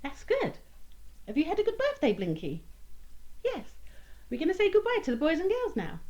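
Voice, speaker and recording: High, very lively children's entertainer woman. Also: moderate pace, highs cut off. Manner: sing-song, coaxing, simple phrases with many questions. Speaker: children's puppet show presenter. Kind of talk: children's entertainer talk to a puppet and young audience.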